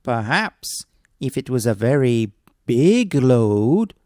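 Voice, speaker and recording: Brief: a man playing a crafty woodman, wheedling, mellow tone, slow, dry sound. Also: sing-song, dry recording, heavily acted. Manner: sly, wheedling, rising pitch. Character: crafty, hungry poor male woodman. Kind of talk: storybook read-aloud.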